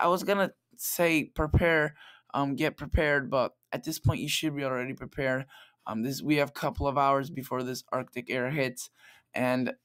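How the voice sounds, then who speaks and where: Man, slightly raspy, laid-back weather vlogger walking through forecast maps. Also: medium pitch, a bit bright. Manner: chatty, loose, talks through model maps. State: slightly raspy late at night. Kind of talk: casual weather forecast vlog. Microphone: plug-in microphone.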